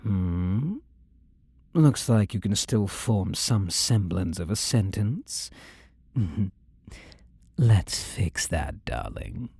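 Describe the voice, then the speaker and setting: A man's voice: low-pitched, big pitch swings, very slow, vocal fry, long pauses, a little mellow, breathy edge, no room sound, heavily acted. A man playing a possessive demon-possessed ex-boyfriend in a scripted roleplay audio drama, condescending and teasing with coaxing endearments. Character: controlling, possessive demon-possessed ex-boyfriend, smug and menacingly tender.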